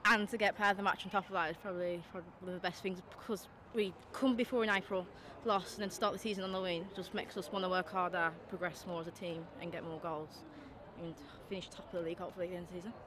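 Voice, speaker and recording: A woman's voice: lowish voice, fairly even intonation, fast, few pauses, a crowd in the background. A young woman, a football player, giving post-match interview answers outdoors. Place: open football stadium pitchside, outdoors. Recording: clear outdoor background noise.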